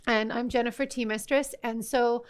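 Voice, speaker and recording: A woman's voice: a little high, fairly even intonation, normal speed, clean tone. A woman hosting a live tea festival panel, introducing her guests with frequent hesitations. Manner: hesitant, many uhs, welcoming. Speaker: host. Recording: live festival stage talk.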